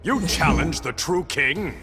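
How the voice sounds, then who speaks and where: A man's voice: a little high, lively intonation, slow pace. An actor playing a proud, stern warrior king of an undersea realm in a video game, with a regal, imperious manner. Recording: loud music behind the voice.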